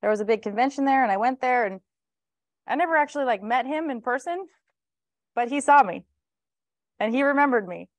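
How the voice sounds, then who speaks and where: A woman's voice: high-pitched, lively intonation, a little fast, pauses often, no room sound. A woman in her thirties hosting an event, introducing a colleague with casual, fond praise in front of an audience. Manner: warm praise, casual fillers. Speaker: event host. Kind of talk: introducing a speaker to a live audience. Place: event room with a stage.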